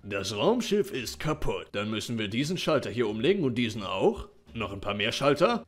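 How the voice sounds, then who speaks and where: Deadpan secret agent, low and flat, lively pitch reined in, as a man plays him. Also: soft background music. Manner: slow, low, deadpan official tone. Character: deadpan male government agent, secretive, slow. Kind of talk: roleplay voice acting for a game story.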